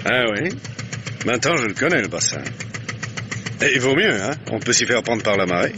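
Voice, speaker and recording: A shrewd police chief, played by a man, who talks in a relaxed, casual manner. His voice sits at a middle pitch, and the old radio recording carries a steady hiss. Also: normal speed, close to an everyday voice. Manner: relaxed, casual, quietly curious. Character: male police chief on a hunting holiday, shrewd. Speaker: police commissioner Bourret. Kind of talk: 1950s French radio drama acting.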